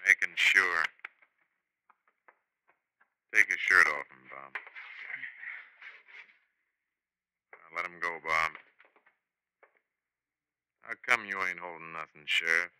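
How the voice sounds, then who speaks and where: Deep-voiced laconic outlaw boss. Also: male voice, pushed and bright, smooth, full character voice, poor audio. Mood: wary, commanding. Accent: American. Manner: unhurried, terse, folksy. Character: menacing, laconic Old West outlaw leader. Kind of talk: 1950s radio western acting. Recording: 1950s radio recording.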